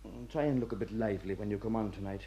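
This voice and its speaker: An actor as mellow, world-weary Irish prison warder. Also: male voice, fairly low pitch, rapid-fire, a little hiss or hum, natural voice. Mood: instructing. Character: older male prison warder, world-weary, gruff yet fatherly. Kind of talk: radio play acting.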